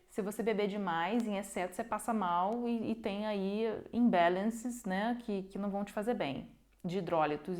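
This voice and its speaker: A young woman hosting a casual nutrition livestream, talking emphatically and repeating her points with checking tags. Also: mid-range voice. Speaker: nutrition live host. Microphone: phone livestream.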